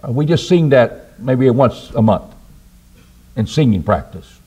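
A man's voice: unhurried, slightly rough. An older man preaching a sermon from a church pulpit, asking his listeners rhetorical questions and answering them himself. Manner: lively, rises and drops in pitch for emphasis, asks rhetorical questions. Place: church auditorium at a wooden pulpit. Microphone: pulpit microphone on a stand.

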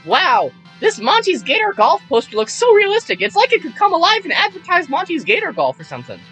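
Woman as a high, excitable cheeky little boy. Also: a little fast, faint music behind, heavily acted, poor audio. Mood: playful. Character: cheeky, excitable young boy dodging robots. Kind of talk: improvised comedic game fandub.

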